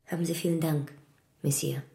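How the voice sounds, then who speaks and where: A woman's voice: low voice, steady tone, normal speed, gravelly, dark tone, echoey room. An actress playing a proud, courteous woman with regal dignity in a radio play, speaking formally and earnestly. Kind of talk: German radio play acting.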